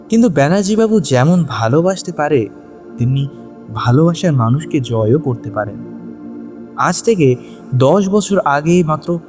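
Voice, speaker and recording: A man's voice: a little high, a little mellow. A man narrating a scripted audio story in a dramatic storytelling style.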